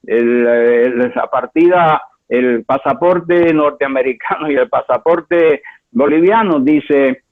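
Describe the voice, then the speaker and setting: A man from Cuba preaching a sermon as pastor, with emphatic delivery that swings between high exclamations and low asides, repeating words for effect. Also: takes it slowly. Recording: tinny phone-like line.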